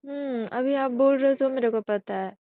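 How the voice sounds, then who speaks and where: A woman's voice: high voice, normal speed, dry recording. A woman chatting casually and intimately on a phone call, in a coy, hesitant, questioning manner. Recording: tinny, band-limited phone call recording.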